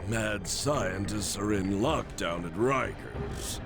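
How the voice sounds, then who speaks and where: An actor playing a huge, pompous crime boss in a video game, demanding and imperious. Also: male voice, lowish voice, slow pace, vocal fry. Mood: scornful, scheming. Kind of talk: video game cutscene acting.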